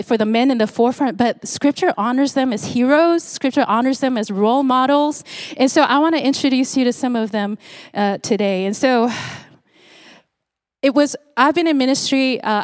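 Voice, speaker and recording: A woman's voice: a little high, dry recording, studio quality. A woman from Singapore, around her forties, preaching a sermon in a conversational way with frequent fillers and restarts. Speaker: pastor.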